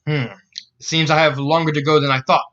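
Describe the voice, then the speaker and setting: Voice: medium pitch, level tone, fairly quick, fairly bright tone, highs cut off, lightly acted. Someone reading as a formal, polite samurai warrior. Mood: polite, restrained. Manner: formal, courteous.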